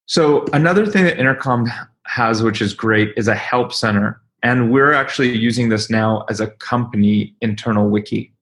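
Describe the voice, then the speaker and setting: A man hosting an informal live software demonstration, explaining in a hesitant way with pauses mid-sentence. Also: medium pitch. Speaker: webinar host and company leader.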